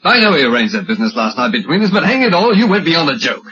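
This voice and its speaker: High-strung British husband, bright voice. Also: male voice, big pitch swings, fast. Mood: indignant. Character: anxious young husband, well-bred, exasperated, easily alarmed. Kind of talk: old radio drama acting. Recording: dull, lightly noisy old radio recording.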